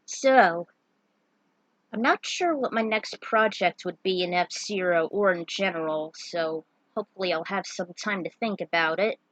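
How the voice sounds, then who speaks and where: A woman's voice: on the low side, unhurried, fairly bright tone, dry recording, rough recording. Woman reading her own fan story. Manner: earnest amateur reading with small slips. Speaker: fan fiction author. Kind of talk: reading her own story aloud, then a casual afterword.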